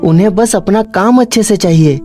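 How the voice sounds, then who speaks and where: A woman's voice: medium pitch, moderate pace, mellow, faint music behind, lightly acted. A woman voicing a kind, humble working boy, an earnest older brother in a cartoon story. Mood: resigned.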